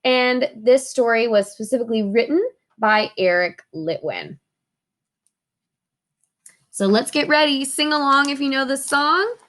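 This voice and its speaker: A woman reading a children's storybook aloud in an expressive way, asking her young listeners questions. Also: high-pitched, unhurried, long pauses. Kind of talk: children's storybook read-aloud with sing-along.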